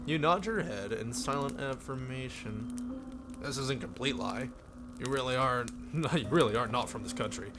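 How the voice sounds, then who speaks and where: A man's voice: mid-range voice, moderate pace, over music. A man reading a visual novel aloud on a live stream as narrator, in a theatrical storybook delivery.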